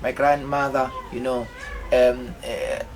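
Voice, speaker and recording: A South African man in his fifties telling his life story in a rambling way, halting often with fillers and repeated words. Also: mid-range voice, flat delivery, low-quality recording. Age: 50s. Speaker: autobiographical vlogger.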